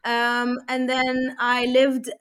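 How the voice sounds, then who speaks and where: A woman's voice: high voice, completely flat delivery, very slow, background noise. A woman in her twenties from Egypt and America, chatting casually and reflectively as an interview guest. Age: 20s. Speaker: podcast guest.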